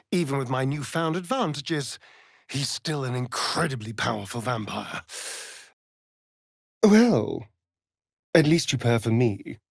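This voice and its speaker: An actor with a British accent playing a vain, flirtatious, sardonic vampire rogue in a fantasy video game, arch and theatrical in delivery. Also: male voice, a little high, no echo, studio quality, full character voice. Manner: arch, drawling, theatrical, mocking.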